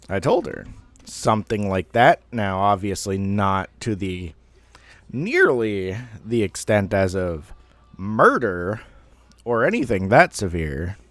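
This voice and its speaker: Thirties dude telling a story real slow, kinda self-deprecating, dry close recording. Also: male voice, fairly low pitch, no room sound. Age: 30s. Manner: rambling, self-deprecating, mildly profane. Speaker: online storyteller. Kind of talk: casual personal storytelling.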